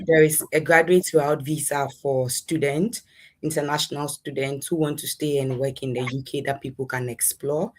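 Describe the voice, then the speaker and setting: A young adult woman with a Ghanaian accent, giving conversational answers as an interview guest. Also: low-pitched. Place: in a room at home, online interview.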